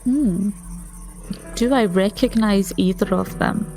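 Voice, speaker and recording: A woman's voice: mid-range voice, slow, warm tone, faint music behind. A woman casually roleplaying as a player in a tabletop game, speaking for and about her character.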